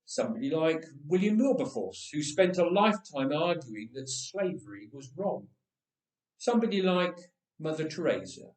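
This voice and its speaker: Male story reader, lively and slightly high-pitched, dramatic pauses and drawn-out phrases, recorded roomy and a little distant. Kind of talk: expressive read-aloud storytelling. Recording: echoey room, distant microphone.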